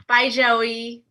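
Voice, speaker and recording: Woman acting bright, lovestruck young co-worker. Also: a little high, a little hiss or hum, full character voice.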